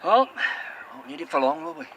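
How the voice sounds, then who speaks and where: A man's voice: fairly high pitch, sing-song, a little fast, background noise. An actor playing a curt, sarcastic man who swears freely. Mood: irritable. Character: bitter, sweary, sardonic man, weary. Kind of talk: audio drama acting.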